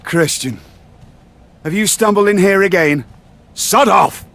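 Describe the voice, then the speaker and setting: Casting: haughty British heel in a wrestling game, pompous, formal, higher-set male voice. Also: slow, gravelly, background noise, full character voice. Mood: irritated. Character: haughty, snobbish British gentleman wrestler villain. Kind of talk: scripted wrestling game cutscene acting.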